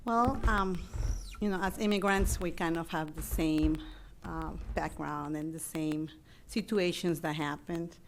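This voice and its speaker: Low, flat-voiced woman. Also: unhurried, a little hiss or hum. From Peru. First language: Spanish.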